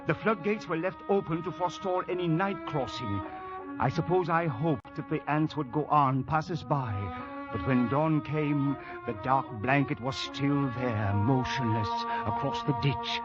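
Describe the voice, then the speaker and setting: An actor playing an anxious commissioner who narrates a jungle ordeal in a formal, dramatic old-time radio style. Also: male voice, on the high side, unhurried, natural voice. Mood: grave. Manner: grave, dramatic, formal storytelling. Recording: dull old radio broadcast, music behind.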